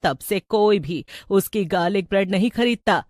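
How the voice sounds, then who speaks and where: A woman playing a wise older village woman, measured and cautioning, in an animated story. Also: mid-range voice, no room sound, studio quality, natural voice. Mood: informing. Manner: measured, advising. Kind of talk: animated Hindi story acting.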